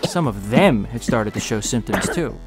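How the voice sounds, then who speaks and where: Earnest young hero played by a man, expressive, over music. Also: on the low side, normal speed, natural voice. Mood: reflective, earnest. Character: earnest, desperate young male slum hero recounting his past. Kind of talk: first-person audio drama narration with acted dialogue.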